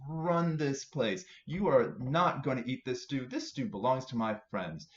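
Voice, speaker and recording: A man's voice: medium pitch, measured pace, close to an everyday voice. Proud storybook lion, played by a man: bossy, emphatic, standing his ground. Echoey, slightly dull recording. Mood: proud, defiant. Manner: assertive, emphatic. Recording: roomy, dull home recording with faint noise.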